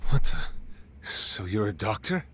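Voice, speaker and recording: An actor playing a stern game investigator who asks blunt, clipped questions. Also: male voice, fairly low pitch, big pitch swings, a little slow, fairly bright tone, slight background noise.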